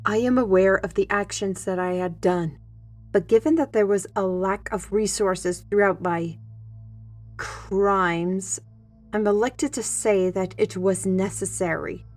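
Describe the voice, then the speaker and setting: A woman's voice: medium pitch, steady tone, measured pace, a little mellow, faint music behind, lightly acted. A woman playing a defiant, sardonic young artist with magical powers in a fantasy audio drama, speaking formally and pointedly. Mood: indignant.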